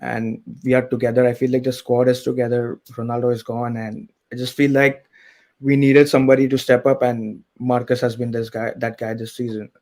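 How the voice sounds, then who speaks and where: A man calling in to a talk show, talking in a measured way and thinking aloud. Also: medium pitch, little pitch movement, a little mellow. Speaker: caller. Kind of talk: casual call-in chat.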